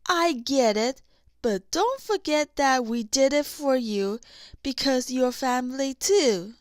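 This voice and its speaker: Woman playing young mountain man, earnest, soft-hearted, high register for a man, slow storybook delivery. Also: lively intonation, no room sound, clean studio sound. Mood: affectionate.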